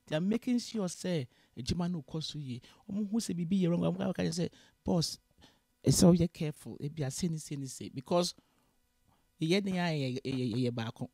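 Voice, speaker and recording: A woman with a Ghanaian accent tells her story in an easy mix of English and Twi, and she voices each exchange with lively energy. Her voice is gravelly and full of fry. The sound is dry and close, with no room echo. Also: sits low, brisk. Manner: switches freely between English and Twi, animated in retelling dialogue. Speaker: guest. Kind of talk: casual storytelling interview. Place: Canada.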